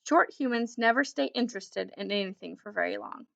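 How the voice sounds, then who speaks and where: A woman reading a children's storybook, voicing a gentle female dog with a wistful manner. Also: fairly high pitch, a little fast, gravelly, lo-fi sound. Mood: mournful. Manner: wistful, lovely-voiced. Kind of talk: children's storybook read-aloud.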